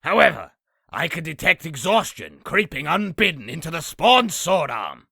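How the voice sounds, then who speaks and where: Bragging demon storyteller, piercingly sharp rasp, heavy fry, theatrical comic-dub delivery. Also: male voice, a little high, very animated, moderate pace, no echo, clean studio sound, heavily acted. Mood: boastful, grandiose. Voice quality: raspy, gravelly. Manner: theatrical, self-aggrandizing. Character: boastful male demon telling his own exaggerated battle story. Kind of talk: comic dub character narration.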